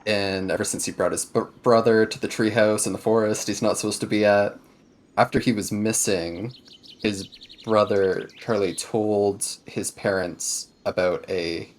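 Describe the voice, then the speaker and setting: A man playing a tabletop roleplaying game, talking casually about the story in a halting, measured way. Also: on the low side, fairly even intonation.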